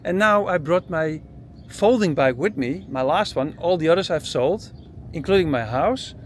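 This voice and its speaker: Higher-pitched Dutch-accented man vlogging outdoors. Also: animated, moderate pace, slight background noise. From Netherlands. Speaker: travel vlogger and interviewer. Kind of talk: casual street chat. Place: outdoors by parked camper vans.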